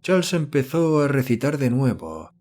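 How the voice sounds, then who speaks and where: A man reading a horror novel as an audiobook narrator, in a measured, solemn manner. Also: mid-range voice, big pitch swings, darkish tone, faint music behind.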